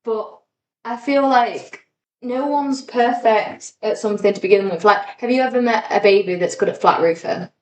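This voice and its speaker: A woman's voice: medium pitch, moderate pace, slight background noise. A woman co-hosting a podcast in casual, informal chat with an encouraging way of talking. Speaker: podcast co-host. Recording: echoey, roomy sound.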